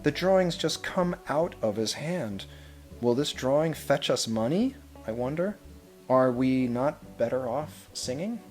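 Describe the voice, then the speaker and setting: Male storybook reader, mid-pitched voice, measured and expressive delivery, instrumental music underneath. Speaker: head of school reading a picture book to children. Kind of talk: storybook read-aloud with brief asides.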